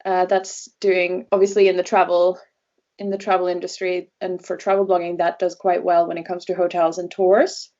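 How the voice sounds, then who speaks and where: A woman's voice: fairly low pitch, level tone, normal speed, dark tone, highs cut off. A young woman from Norway, a native Norwegian speaker, answering interview questions conversationally in English as a travel blogger guest.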